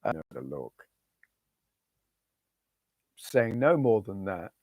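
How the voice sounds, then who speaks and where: Slow, hoarse man streaming. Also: low voice, very animated, darkish tone, dry recording. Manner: rambling, chatty, reads out viewer names. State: hoarse, losing his voice. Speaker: retro gaming streamer. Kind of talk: casual live stream chat.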